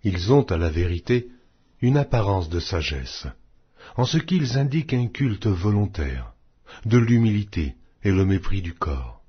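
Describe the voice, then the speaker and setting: A man narrating a Bible audiobook in a solemn, scripted, measured and declamatory way. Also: lowish voice, husky. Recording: dull, muffled lo-fi audio.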